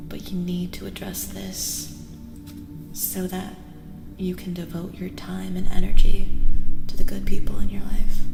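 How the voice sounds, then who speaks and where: A soft-spoken woman, often near a whisper, hosting a live ASMR tarot reading and chatting with viewers in an unhurried way. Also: on the low side, vocal fry. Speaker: streamer host and tarot reader. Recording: reverberant echo with music behind. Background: music.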